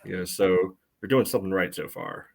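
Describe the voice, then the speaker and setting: Guy with a lowish voice breaking down soccer on a podcast, kinda choppy, says you know a ton. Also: fairly quick, creaky. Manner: hesitant, choppy phrasing peppered with "you know". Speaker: podcast co-host and soccer analyst. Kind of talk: casual sports analysis chat.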